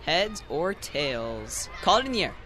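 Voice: medium pitch, sing-song, a little slow. A young male actor playing an eager schoolboy team captain in a radio drama, talking in a casual, teasing playground way. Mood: eager, bossy. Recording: noisy outdoor ambience.

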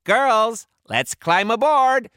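Man putting on a high-pitched bossy pirate woman. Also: very animated, extremely slow, creaky, no echo, studio quality, full character voice. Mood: rallying. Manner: bossy, commanding. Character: bossy female pirate captain, older sister, gleefully ruthless.

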